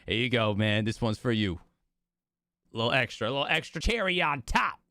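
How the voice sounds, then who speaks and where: A man's voice: mid-range voice, sing-song, a little fast, sharp tone, no echo, studio quality. A man livestreaming casually to his viewers as host, talking in hyped, slangy bro speak with swearing, his voice strained from overuse. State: voice strained, losing it. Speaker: live streamer hosting a watch party.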